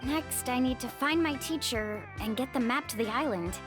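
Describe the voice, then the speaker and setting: A woman voicing an upbeat, friendly young tour guide in a video game, with an earnest, expressive delivery. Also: high-pitched, moderate pace, over music, lightly acted. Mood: explaining, slightly nervous.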